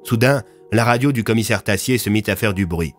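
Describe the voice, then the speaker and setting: A man's voice: on the low side, brisk. A man narrating a scripted dramatic story as an audio fiction storyteller. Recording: music under the voice.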